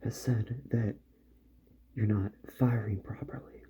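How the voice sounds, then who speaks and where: A man doing a soft-spoken ASMR roleplay as a calm, methodical repair doctor, musing gently to himself and often drifting into a whisper. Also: lowish voice, takes it slowly. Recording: muffled audio with faint background noise.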